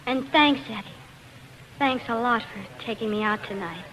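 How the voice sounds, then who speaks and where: An actress playing a spirited young nightclub singer in a 1940s radio drama. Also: female voice, fairly high pitch, moderate pace, a bit bright, natural voice. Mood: wounded, sarcastic. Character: spirited young female club singer, sweet then wounded. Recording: noisy vintage 1940s radio recording.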